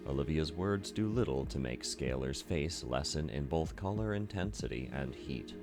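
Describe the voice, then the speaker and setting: A man's voice: rumbling low, expressive, moderate pace. A man reading scripted first-person visual novel narration as narrator and voice actor, over plucked guitar music. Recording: loud music behind the voice.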